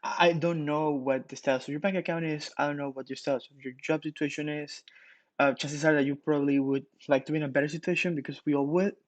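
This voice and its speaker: A man's voice: fairly high pitch, fairly even intonation, fast talker, no echo. Casual young man giving a devotional. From Ecuador. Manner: casual, many fillers and restarts. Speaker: pastor. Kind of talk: morning devotional.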